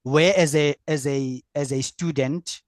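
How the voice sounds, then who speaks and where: A middle-aged man from South Africa with a South African accent whose first language is Afrikaans, answering as a business coach on an online panel, halting with fillers and restarts. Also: on the high side, fairly even intonation, dry recording, studio quality. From South Africa, Free State. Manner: halting, many fillers and restarts, laughs easily.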